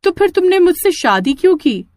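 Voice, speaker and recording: Lady doing a jealous ghost bride, young girl, really high voice, pushy, cartoon horror story, dry sound. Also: big pitch swings, fairly quick, darkish tone, dry recording. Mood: insistent, possessive. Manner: insistent, confrontational.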